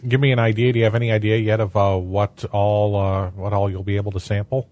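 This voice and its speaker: Male local radio host, low-ish voice, halting delivery with fillers, dry studio sound. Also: little pitch movement, darkish tone, no room sound. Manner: halting, many fillers and restarts.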